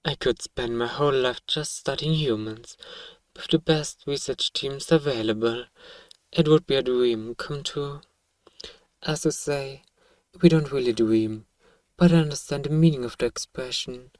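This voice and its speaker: A man acts out an earnest alien researcher in a roleplay audio drama. His voice sits at a medium male pitch, formal and measured, with a clinical curiosity. It is recorded dry, a little away from the microphone. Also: no room sound, recorded from a distance, close to an everyday voice. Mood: earnest, matter-of-fact. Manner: formal, measured, addresses listener as "human". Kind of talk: scripted audio roleplay monologue, ASMR.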